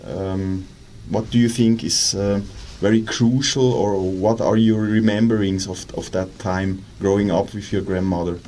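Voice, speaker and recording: A man with a Swiss German accent hosts a radio interview, his voice sitting low. He talks hesitantly, with many fillers and restarts. A faint hiss runs under the studio sound. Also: steady tone, unhurried, warm tone. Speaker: radio host.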